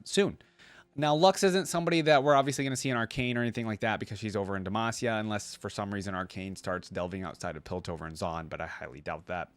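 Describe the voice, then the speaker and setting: A man's voice: medium pitch, smooth, no room sound. A man giving casual, rambling, unscripted commentary to his viewers as the host of a video game reaction channel. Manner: rambling, conversational.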